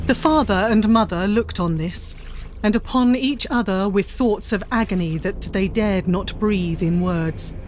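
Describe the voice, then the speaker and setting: A woman narrating a period story in a solemn, measured, dramatic style. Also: medium pitch, a little mellow, a little hiss or hum.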